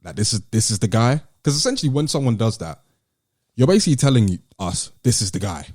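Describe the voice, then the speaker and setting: A man's voice: mid-range voice, big pitch swings, fast, darkish tone, husky, no room sound. A man with a British accent hosting a casual podcast discussion, explaining his points step by step. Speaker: podcast host.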